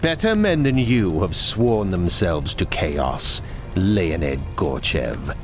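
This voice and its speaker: An actor playing a stern, blunt ally in a fantasy video game, speaking with weighty scorn. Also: male voice, low-pitched, very animated, takes it slowly, background noise, full character voice. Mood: scornful, grim. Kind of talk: video game cutscene acting. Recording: loud music behind.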